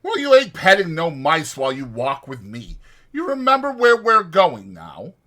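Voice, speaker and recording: A man's voice: fairly high pitch, huge pitch swings, normal speed, a bit bright, pitched above the performer's own voice. A man voicing a short-tempered, bossy working man who scolds in rustic, ungrammatical speech in a dramatized audiobook. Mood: irritated, impatient.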